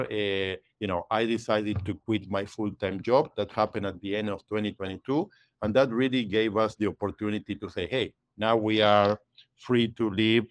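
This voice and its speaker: Guy in his 40s, lowish voice, chatty podcast guest, lots of "you know, right?". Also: no echo. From Argentina. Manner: conversational, frequent "you know" and "right?" tags. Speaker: guest, realtor. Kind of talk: podcast interview.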